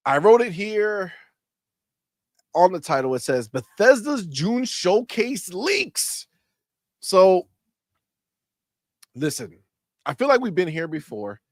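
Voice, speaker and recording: A man co-hosting a casual gaming live stream, stuffy-nosed, talking in an animated way, swearing freely and laughing often. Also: high-pitched, takes it slowly, long pauses, dry recording, clean studio sound. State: stuffy nose. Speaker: gaming podcast co-host.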